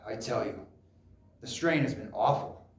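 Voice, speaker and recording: A man playing a proud, stubborn father of nearly eighty in a solo stage drama, gruff and rambling as he brushes off concern. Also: mid-range voice, slow, pitched below the performer's own voice, lightly acted. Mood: worried. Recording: roomy, echoing live recording.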